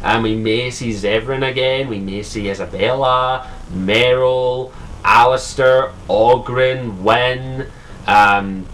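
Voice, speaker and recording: A man's voice: mid-range voice, slow, a bit bright, a little hiss or hum, rough recording. A young man from Scotland with a Scottish accent, talking casually and profanely about a game, full of ums and restarts. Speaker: gaming vlogger. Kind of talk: casual solo commentary.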